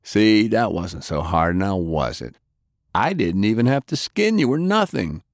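A man voicing a rough, sardonic interrogator who talks in a folksy, taunting rural American way. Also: on the low side, sing-song, a little slow, no echo, clean studio sound. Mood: menacing, taunting.

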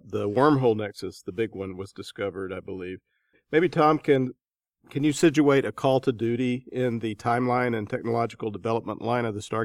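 A man's voice: medium pitch, no echo, studio quality. A man hosting a podcast, introducing guests and putting interview questions to them.

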